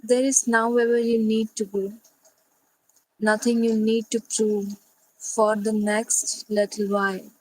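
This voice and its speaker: A woman leading a guided sleep meditation, speaking gently and soothingly in short phrases with long pauses. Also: a little high, flat delivery, no room sound, low-quality recording.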